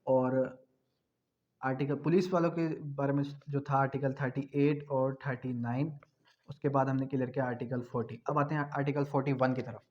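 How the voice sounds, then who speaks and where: A man's voice: medium pitch, fairly even intonation, a little fast, darkish tone. A man with a Pakistani accent teaching law, explaining point by point in a didactic way and posing questions that he answers himself. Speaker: law lecturer. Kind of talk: law lecture explaining articles clause by clause. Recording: dull, lo-fi audio.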